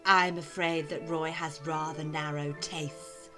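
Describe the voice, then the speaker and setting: A woman's voice: sits low, little pitch movement, slow, bright, forceful tone, soft background music, heavily acted. A woman playing an arch, amused artist wife who needles with sugary sarcasm in an audio drama. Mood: needling.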